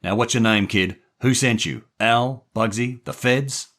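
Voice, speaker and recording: A man playing a gruff, hot-tempered gangster. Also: mid-range voice, measured pace, voice pushed up, close to an everyday voice. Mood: threatening. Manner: gruff, shouting, threatening. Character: gruff, menacing male gangster, short-tempered.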